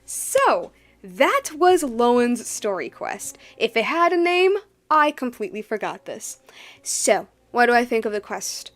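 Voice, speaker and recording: A woman's voice: fairly high pitch, big pitch swings, unhurried. A woman giving casual, rambling gameplay commentary, slangy and informal, repeating herself for emphasis. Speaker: video game streamer.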